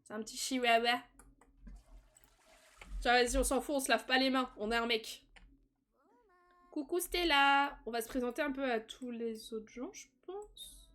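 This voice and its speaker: A young woman giving casual live-stream commentary while playing a life-simulation game, chatty, laughing often and breaking into excited squeals. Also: sits high, measured pace, long pauses.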